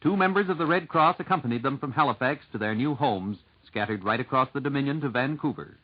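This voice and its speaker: A man's voice: mid-range voice, expressive, fast. A man reading a scripted news introduction on 1940s radio. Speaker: radio newsreader. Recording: dull 1940s radio recording.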